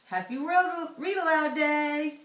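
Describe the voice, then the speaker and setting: A woman with a fairly high voice reads a picture book as its author. She tells it in an animated read-aloud style, heard from a little distance in a very roomy space. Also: slow. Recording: echoey room, distant microphone.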